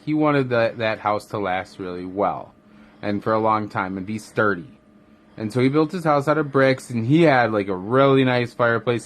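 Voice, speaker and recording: Man casually rambling through a bedtime story. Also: medium pitch. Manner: rambling, jokey asides. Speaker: father telling a bedtime story.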